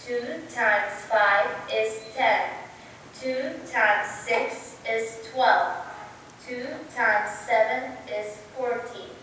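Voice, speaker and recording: Casting: female student actor as a droning, deliberate lesson voice, very unhurried, distant in a reverberant hall. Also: on the high side, fairly bright tone. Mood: rote. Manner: rote, deliberate recitation. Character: droning instructor's voice reciting times tables. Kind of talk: amateur student stage acting. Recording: echoey stage, distant mic, faint noise.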